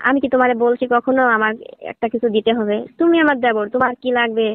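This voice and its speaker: A woman's voice: a little high, fast talker, no echo, lightly acted. A woman playing a flirtatious, lonely married sister-in-law in a staged phone-call drama, coaxing and teasing as she talks. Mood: persuasive, flirtatious. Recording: tinny narrowband phone line.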